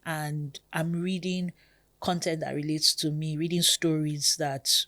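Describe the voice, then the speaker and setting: A woman in her thirties from Nigeria with a Nigerian accent, hosting a podcast in a chatty, expressive way. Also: sits low, unhurried. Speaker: podcast host. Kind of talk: casual podcast monologue.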